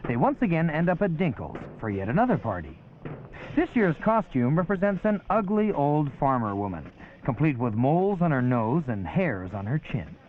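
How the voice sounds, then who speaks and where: A man's voice: on the high side, sing-song, normal speed, a little hiss or hum. A man reading a wry, lightly humorous documentary narration as a voice-over. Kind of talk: scripted narration. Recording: tinny old 1981 film soundtrack with music.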